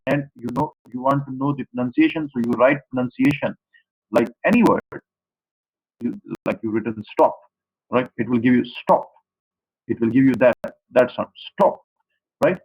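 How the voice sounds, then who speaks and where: A man with a Pakistani accent lectures a university class over an online call. His voice sits at a middle pitch, and he circles back over the same words to make sure the students follow. The audio is dull and lo-fi, like a compressed call. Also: normal speed, pauses often. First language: Saraiki. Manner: repetitive, explanatory, addresses students directly.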